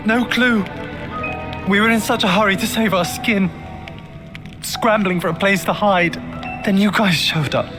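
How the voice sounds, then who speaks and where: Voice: mid-range voice, unhurried, pauses often, close to an everyday voice. An actor playing a shaken, wary young soldier in a video game cutscene. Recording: music behind the voice.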